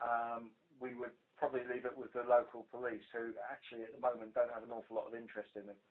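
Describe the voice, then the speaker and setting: A man's voice: mid-range voice, little pitch movement, fast talker. British man on a tinny phone line. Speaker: police investigator. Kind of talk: work briefing on a conference call. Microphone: telephone. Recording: tinny narrow-band phone conference line.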